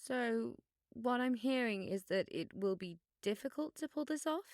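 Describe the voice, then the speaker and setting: A woman's voice: a little high, animated, normal speed, no echo, clean studio sound, lightly acted. A woman playing a bossy, fussy, self-important schoolgirl in a scripted audio drama, haughty and theatrical in delivery. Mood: bossy, picky.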